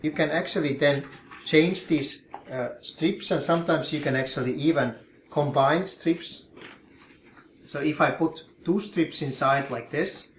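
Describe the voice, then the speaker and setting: A man from Finland, a professor calmly explaining and demonstrating his collection in his office in an interview. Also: a little high, unhurried, slight background noise. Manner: calm, explanatory, demonstrating objects as he talks.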